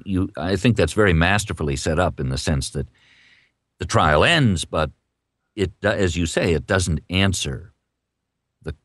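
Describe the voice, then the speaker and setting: A man interviewing someone in a conversational style, feeling his way through questions with halting phrasing. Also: deep voice, animated, a bit bright, dry recording, studio quality.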